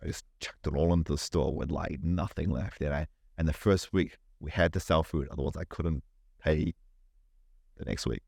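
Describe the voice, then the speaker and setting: Deep-voiced New Zealand man, swearing casually. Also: fairly even intonation, normal speed, gravelly, darkish tone, dry recording, studio quality. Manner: swearing, rambling, repeats words. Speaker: podcast guest. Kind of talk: casual chat.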